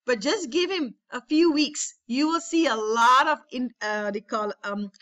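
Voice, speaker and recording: A woman's voice: high voice, measured pace, fairly bright tone, dull audio. A woman leading a network marketing training over a webinar, talking enthusiastically and repeating phrases to rally her listeners. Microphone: webinar call.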